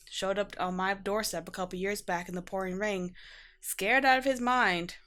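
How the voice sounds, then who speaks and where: A woman playing a flustered but caring young vampire in a conversational ASMR roleplay, halting and defensive with sarcastic asides. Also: mid-range voice, a bit bright, natural voice.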